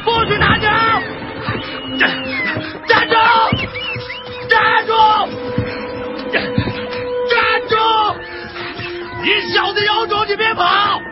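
A male actor playing an indignant, tender-hearted bird protector in a Chinese radio drama, excitable and exclamatory. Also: extremely high pitch, takes it slowly, pauses often, over a noisy crowd. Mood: furious, shouting. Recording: dull radio drama recording with loud music.

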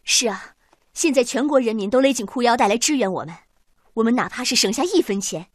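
A woman's voice: on the high side, animated, a little fast, dry recording, clean studio sound. A woman playing a spirited, dedicated young missile engineer in a radio drama. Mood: eager, determined.

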